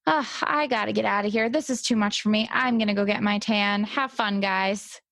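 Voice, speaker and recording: A woman's voice: medium pitch, fairly even intonation, moderate pace, fairly bright tone, no room sound. A woman podcast host improvising comedy as herself, conversational, in a bemused straight role.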